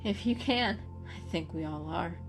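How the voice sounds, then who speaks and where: A woman voicing a wary, sensible female house cat in a storybook, speaking in a measured, matter-of-fact way. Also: on the low side, music in the background. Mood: musing.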